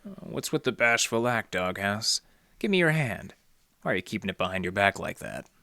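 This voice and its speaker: An actor playing a wry, deadpan library detective who narrates his case in hardboiled old radio style. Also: fairly low pitch, moderate pace, gravelly, dry recording, clean studio sound, full character voice. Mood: mock-sad, needling. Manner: deadpan, sardonic, slangy.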